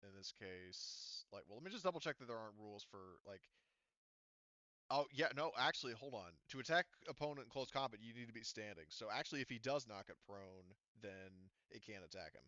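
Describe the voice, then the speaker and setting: A man's voice: on the low side, animated, fairly quick, bright, forceful tone, no echo, lo-fi sound. A man talking casually as game master, explaining tabletop game rules in a hesitant way, thinking aloud.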